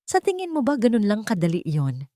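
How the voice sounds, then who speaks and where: A woman voicing a manipulative rich husband in an audio drama, controlling and deliberate. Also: mid-range voice, huge pitch swings, warm tone, no echo, studio quality, pitched above the performer's own voice. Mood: cold, threatening. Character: manipulative, menacing rich husband, coaxing then coldly threatening.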